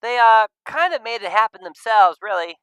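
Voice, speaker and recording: A man performs an upbeat young male furry friend in a visual novel. The voice sits high and swings widely, clean and close, with warm, teasing sarcasm and excitable delivery. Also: moderate pace, clean tone, dry recording. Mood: evasive. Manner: animated, exclamatory.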